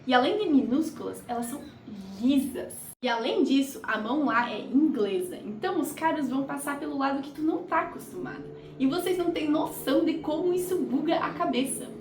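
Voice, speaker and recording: A woman's voice: sits high, expressive, a little fast, darkish tone, far from the mic, a little hiss or hum. A young woman from Brazil, chatting casually in a travel vlog with jokey asides. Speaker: vlogger. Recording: roomy echo, off-mic.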